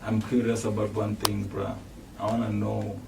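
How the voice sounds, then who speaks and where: A man's voice: lowish voice, flat delivery, normal speed, vocal fry. A man with a South African accent hosting a casual interview in a laid-back, slangy way. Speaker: host. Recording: distant mic with faint noise.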